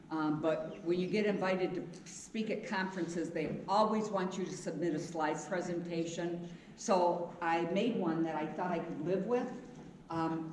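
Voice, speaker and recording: A middle-aged woman giving a frank, loud, conversational talk to a live audience without a mic. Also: on the low side. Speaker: speaker. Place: meeting room. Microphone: none, room mic. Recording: roomy hall, voice from far off, faint noise.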